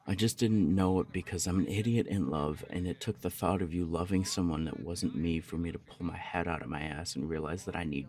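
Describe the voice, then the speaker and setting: A man playing a lovesick, earnest young punk roommate in an intimate ASMR romance roleplay, speaking in a halting way. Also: deep voice, darkish tone, natural voice.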